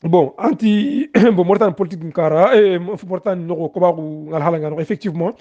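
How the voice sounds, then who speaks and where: A man's voice: high voice, moderate pace, dark tone, muffled recording. A man from Mauritania, a guest author, talking in a hesitant way with many fillers and restarts. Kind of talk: casual interview talk.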